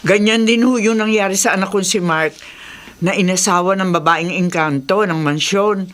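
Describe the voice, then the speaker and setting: A woman's voice: mid-range voice, moderate pace, fairly bright tone, natural voice. Worried, respectful village mother played by a woman. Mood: grave warning. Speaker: radio drama actor. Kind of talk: Filipino radio drama acting.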